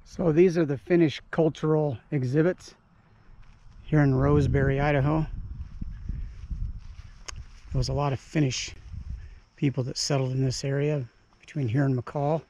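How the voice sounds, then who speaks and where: A man's voice: fairly high pitch, steady tone, normal speed, long pauses, warm tone. A man vlogging a walking tour through a small museum and historic village, marvelling at everything and reading signs aloud, recorded on an action camera. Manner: marvelling, keeps saying wow, reads signs aloud. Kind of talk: casual walking-tour commentary. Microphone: action camera mic. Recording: slightly dull action-camera audio.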